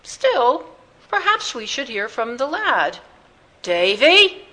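A woman voicing a swaggering English circus owner in a storybook, booming and theatrical with teasing politeness. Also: very animated, takes it slowly, sharp tone, heavily acted, rough recording. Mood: amused, commanding.

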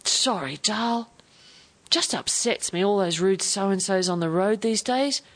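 Storybook elderly grandfather, read by a woman: frail, plaintive, halting, gently sad. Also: mid-range voice. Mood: shaken, apologetic.

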